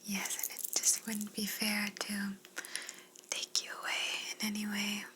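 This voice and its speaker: A woman playing a polite, soothing female alien abductor in a whispered ASMR roleplay, gently reassuring and clinical in manner. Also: mid-range voice, completely flat delivery, measured pace, poor audio. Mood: calm, musing. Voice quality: breathy unvoiced whisper.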